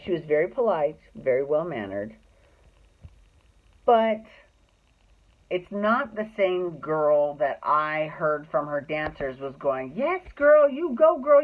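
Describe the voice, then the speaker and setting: A man telling a personal story casually, with a sassy, emphatic manner that slips into acted-out dialogue. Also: a little high. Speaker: drag performer telling stories. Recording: thin phone-like sound.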